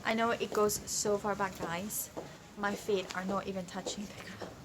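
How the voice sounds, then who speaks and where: A woman's voice: medium pitch, little pitch movement, moderate pace, noisy background. A young British woman vlogging casually while shopping, tired after work, giggly and talking straight to her viewers. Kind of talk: casual vlog chat. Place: large furniture store, indoors.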